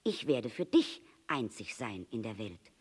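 A storybook reader takes on an earnest fox with a wistful heart. The voice sits mid-range and moves in lively leaps, explaining with emphasis and pleading for friendship. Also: mid-range voice, a little slow, breathy edge. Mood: explanatory, earnest. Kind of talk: storybook character reading.

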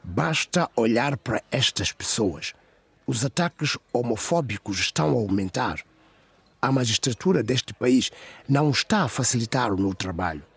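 A man's voice: mid-range voice, animated, moderate pace, creaky, a bit bright, breathy edge, dry recording, exaggerated voice. A man playing an earnest, insistent male police inspector in a radio drama, serious and pressing. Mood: urgent.

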